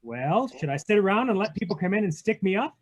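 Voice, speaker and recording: A man acts a hard-boiled private eye in a 1940s radio mystery. The voice is high for a man, with a terse, sardonic delivery. He comes across as tough, wry and impatient. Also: sing-song, fast talker. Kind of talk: 1940s radio drama read-through with narration. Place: video call read-through.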